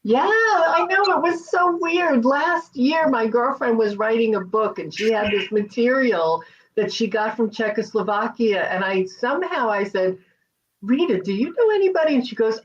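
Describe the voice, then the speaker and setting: Bright, lively woman host. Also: a little high, muffled recording. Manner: responsive, quick affirmations. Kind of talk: conversational interview.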